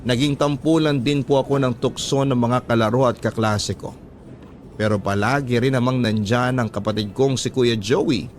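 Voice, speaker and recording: A man with a Filipino accent hosting a radio-drama letter show, speaking in an earnest, measured, sentimental way. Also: medium pitch. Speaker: host reading listeners' letters. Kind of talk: radio drama narration and advice.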